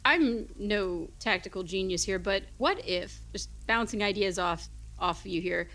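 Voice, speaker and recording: A woman's voice: mid-range voice, measured pace, a bit bright. A woman in casual improvised role-play as a tabletop game player, chatty and thinking aloud.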